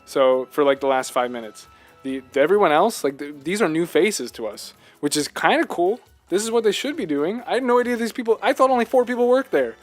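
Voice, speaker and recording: Higher-voiced man chatting animatedly about games. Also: animated, fairly quick, faint music behind. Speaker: podcast co-host. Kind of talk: casual video game discussion.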